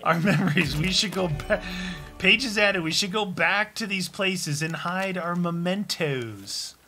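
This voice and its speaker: Bright-voiced, fairly high-pitched man gaming. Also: measured pace, faint music behind. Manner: joking, playful. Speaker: video game player. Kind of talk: casual gameplay banter with a co-player. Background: video game ambience.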